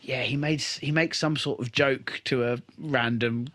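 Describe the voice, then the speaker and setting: A man co-hosting a podcast, casually recapping a TV episode with animated retelling, acting out dialogue and swearing freely. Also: medium pitch, normal speed. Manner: sweary, informal. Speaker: podcast co-host.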